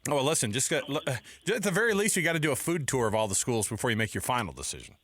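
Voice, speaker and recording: A man with an American accent hosting sports talk radio, chatting casually in animated banter. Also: medium pitch, very fast, no echo. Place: radio studio. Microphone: close studio microphone.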